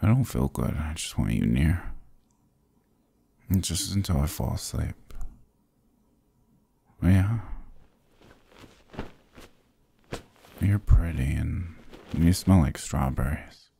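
A man plays a young boyfriend who is sick, stubborn and loving, in an intimate ASMR roleplay. His very low voice is mellow and full of fry, murmured drowsily and softly, as if to a partner right beside him. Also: lively intonation, slow pace, pauses often, full character voice. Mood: loopy, tender. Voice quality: husky, gravelly, breathy. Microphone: close, dry microphone.

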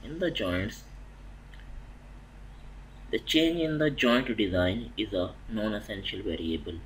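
Low-voiced man giving a methodical lecture. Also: a bit bright, slight background noise, poor audio. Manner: methodical, halting, restarts mid-phrase. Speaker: welding inspection instructor. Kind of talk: slide-based technical lecture. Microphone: voice-over on slides.

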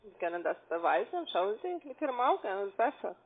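A woman with a foreign accent and non-native, halting phrasing, mumbling evasively through a phone sales call. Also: mid-range voice, very animated. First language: not German. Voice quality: mumbled, indistinct. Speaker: telemarketing caller pitching investments. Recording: muffled, band-limited phone line.

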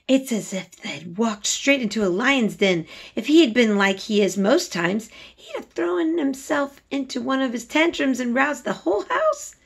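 A woman voicing an anxious, excitable young Yorkshire housemaid from a storybook, exclaiming in dialect. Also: fairly high pitch, normal speed, vocal fry, few pauses, breathy edge, voice pushed up, full character voice. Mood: amazed. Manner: agitated dialect speech, exclaiming.

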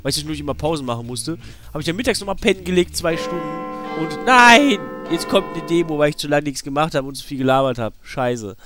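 A man's voice: a little high, animated, quick pace. A man with a slight cold giving rambling, informal, slangy let's play commentary. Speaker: let's player. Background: video game music.

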